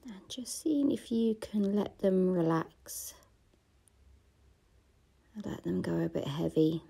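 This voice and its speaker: Woman meditation guide: dark, gravelly voice, soft and half-whispered, unhurried. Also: fairly low pitch, animated. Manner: slow, soft-spoken, often half-whispered.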